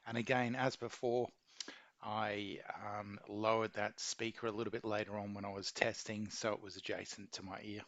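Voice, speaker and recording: A man reading a scripted how-to narration in a measured, methodical way. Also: fairly low pitch, level tone, fairly bright tone, dry recording. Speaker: video maker giving an installation tutorial.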